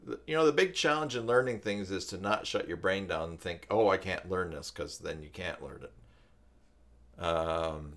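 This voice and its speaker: A man's voice: medium pitch, a bit bright. A man in his fifties hosting a live stream, talking in a casual, rambling, conversational way. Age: 50s. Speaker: live stream host and tutorial maker. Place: downstairs room at home.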